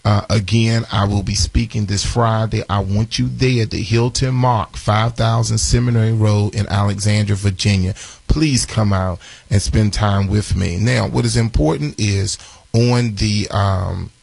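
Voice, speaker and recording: A man in his forties with a raspy voice, hosting a live morning radio show and chatting through announcements with lots of fillers and restarts. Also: on the low side, steady tone, a little slow, darkish tone, no echo. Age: 40s. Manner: chatty, repeats phone numbers, many fillers and restarts.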